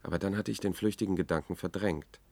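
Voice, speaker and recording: An actor playing a troubled news editor, recounting events in a measured, reflective way in a 1970s German radio drama. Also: male voice, low-pitched, gravelly, darkish tone, no echo. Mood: brooding. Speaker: radio play narrator.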